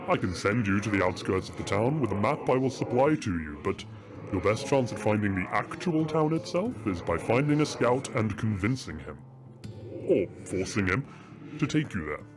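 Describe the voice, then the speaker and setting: A man playing a wise, teasing middle-aged father king in a fantasy audio drama, measured and playful. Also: on the low side, big pitch swings. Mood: matter-of-fact. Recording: music under the voice.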